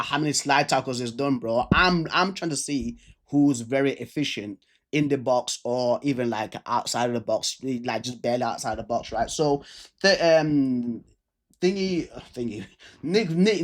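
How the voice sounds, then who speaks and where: A man with a stammer who repeats words and restarts, casually talking viewers through a football stats breakdown. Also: medium pitch. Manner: chatty, speaks straight to viewers. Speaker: football video creator.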